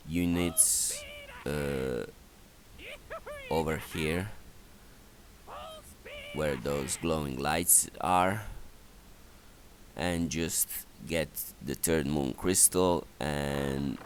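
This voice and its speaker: A man giving step-by-step, halting instructions as commentary for a strategy game walkthrough. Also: very deep voice. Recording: clearly noisy voice recording.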